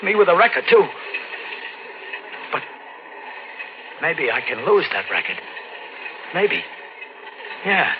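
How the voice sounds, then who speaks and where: An actor playing a frightened, guilt-ridden drifter with a record, talking in halting slang in a 1940s radio thriller style. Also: male voice, fairly high pitch, big pitch swings, noisy background. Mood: panicky, scheming. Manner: halting, anxious, repeats words, street slang. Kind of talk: 1940s radio thriller acting, first-person narration. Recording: dull old radio recording with music. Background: dramatic music.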